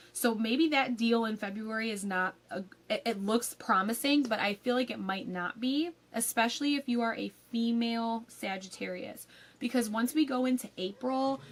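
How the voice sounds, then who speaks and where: A young woman giving a casual tarot forecast, a little raspy from a cold, chatty with frequent ums and likes. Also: medium pitch. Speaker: tarot reader.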